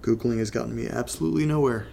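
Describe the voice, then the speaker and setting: Gravelly, congested young man, troubled and hesitant, confessional horror podcast diary, faint rumble behind. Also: very fast, warm tone. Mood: troubled, searching. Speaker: podcast narrator in horror audio drama. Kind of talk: confessional audio diary, casual halting monologue.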